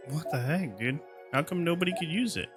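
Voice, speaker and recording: A man does casual live game commentary with playful, slangy reactions to what is on screen. Game music plays clearly behind him. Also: fairly high pitch, animated, brisk. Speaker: game streamer.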